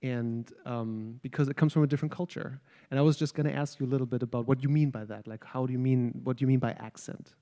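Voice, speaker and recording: A man's voice: mid-range voice, fairly even intonation, fast talker, few pauses, warm tone. Hesitant man hosting a jazz talk. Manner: hesitant, many ums and uhs, friendly. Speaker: host, jazz studies director. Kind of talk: onstage interview.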